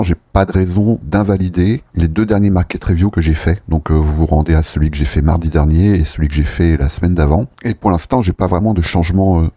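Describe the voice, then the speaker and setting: A man with a very low, mellow voice, slightly husky, explains things step by step as a host. His delivery is measured and conversational, like an analyst walking viewers through his commentary. The sound is close and very dry. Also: dry recording.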